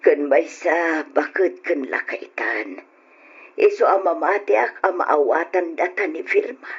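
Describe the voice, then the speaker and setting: A woman playing an anxious elderly wife and mother, fretful and plaintive with a raspy voice, in an old-style radio drama. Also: low voice, animated, slow pace, full character voice. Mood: worried. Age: older. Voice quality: husky, raspy. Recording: muffled radio recording.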